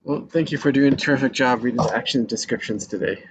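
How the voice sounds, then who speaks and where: A man's voice: mid-range voice, quick pace. A man hosting a casual online group chat in an effusive, encouraging way. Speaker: host of an online table read show. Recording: muffled video call audio, clear noise, clicks.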